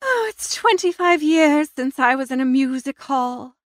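Woman playing a high-voiced, dignified older aunt. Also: lively intonation, measured pace, dry recording, clean studio sound. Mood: wistful. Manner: stately, dignified. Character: dignified older aunt, quietly commanding stage-duchess type.

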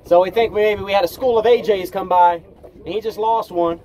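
Guy in his 40s, high voice, hyped, talking while reeling fish on a boat. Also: fairly even intonation, brisk, warm tone, rough recording. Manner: upbeat, narrates while fighting fish, exclamations. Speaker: fishing vlogger. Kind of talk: excited fishing narration. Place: small fishing boat offshore, outdoors. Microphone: action camera, sometimes selfie at arm's length.

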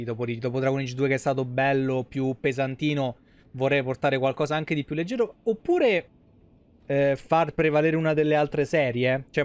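A man in his thirties with a central Italian, Roman accent, giving casual live gameplay commentary in a colloquial, salty-tongued way, thinking aloud. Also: mid-range voice. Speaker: game streamer, host. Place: indoor streaming setup at home.

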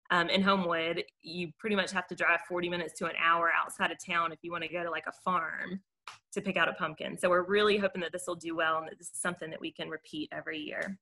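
Bright-voiced, lower-pitched American woman. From Mobile, Alabama, United States. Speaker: garden caretaker, presenter. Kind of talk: informal video-meeting presentation. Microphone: video call.

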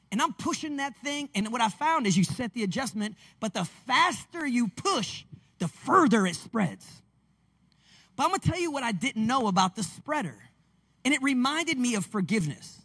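A man, preaching a lively, conversational sermon on a church stage into a handheld mic. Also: very high voice. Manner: animated, conversational preaching with call-and-response. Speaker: pastor. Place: large church stage with screens. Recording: long reverb of a large hall.

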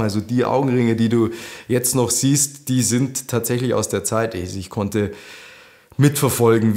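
A man in his thirties with a Lower Bavarian accent, telling his own story to camera in a casual, animated way from a living room. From Lower Bavaria, Germany. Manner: chatty, animated, gestures with hands. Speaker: host.

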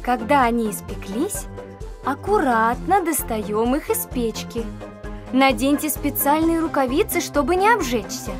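A woman's voice: high voice, lively intonation, a little slow. A woman narrating a simple recipe segment for children in a friendly, sing-song way. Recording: voice-over with music.

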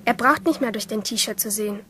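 A woman's voice: a little high, a little fast, background noise, natural voice. An actress playing a rebellious, intense and jealous teenage girl swimmer, narrating and speaking in a crime radio play. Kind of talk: first-person audio drama narration and dialogue in a crime radio play. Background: music behind the voice.

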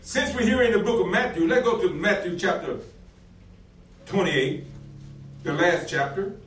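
A male pastor with a high-pitched voice delivers a sermon. He poses questions, answers them and repeats key phrases, dropping in frequent amens. The recording is roomy and picked up from a distance. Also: expressive, normal speed, a bit bright. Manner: repetitive call-and-answer questions, punctuated with amens. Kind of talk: sermon, preaching with scripture readings. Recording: roomy, distant mic, faint music behind.

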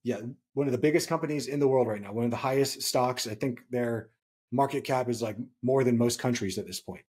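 A man in his thirties with a slightly husky voice, co-hosting a podcast and explaining points emphatically, repeating key phrases for stress. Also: mid-range voice, a little fast, a little mellow. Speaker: podcast co-host. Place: small home room, indoors.

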